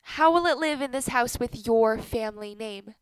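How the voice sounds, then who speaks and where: A woman's voice: on the high side, normal speed, smooth, dry recording, natural voice. A woman playing a blunt, sardonic middle-aged wife with a bitter tongue in a radio play. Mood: pressing, harsh.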